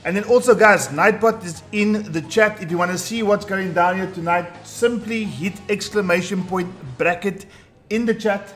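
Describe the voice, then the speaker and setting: A man with a booming voice hosting a live tournament stream, hyped and talking straight to his chat. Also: a bit bright. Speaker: tournament host. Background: faint background music.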